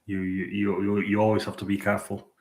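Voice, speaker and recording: A man livestreaming at night, chatting in a hesitant, halting way with many restarts and fillers. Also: lowish voice, monotone, a little mellow, roomy sound. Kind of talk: late-night street livestream chat. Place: out at night in a city.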